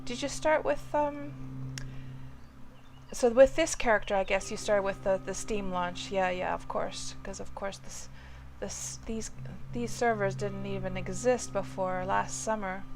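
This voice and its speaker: A woman's voice: medium pitch, steady tone, a little slow. A woman, live-streaming a game and chatting loosely with viewers, rambling with lots of fillers. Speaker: game livestreamer. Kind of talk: casual live-stream chat while playing. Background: music playing under the voice.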